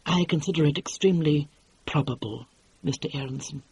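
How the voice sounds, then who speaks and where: A man reading an audiobook and voicing a prim, formal male assistant who speaks with quiet precision. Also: a little high, brisk, husky, no echo, low-quality recording. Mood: polite, dry. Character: polite, prim, dry-witted male assistant. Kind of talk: audiobook character voice.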